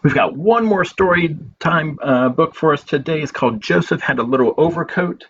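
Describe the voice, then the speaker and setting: A man hosting a storytime as a librarian, reading picture books aloud in measured phrases with pauses and informal asides. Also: fairly high pitch, lively intonation, a bit bright. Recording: dull lo-fi audio with clear background noise.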